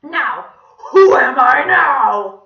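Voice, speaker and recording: A woman's voice: sits high, very animated, extremely slow, roomy sound, highs cut off, voice pushed up, exaggerated voice. A woman voicing a creepy, vengeful male villain who squawks like a sore-throated donkey with a mock French accent, drawn out and gloating in a children's story. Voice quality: harsh, squawking, strangled.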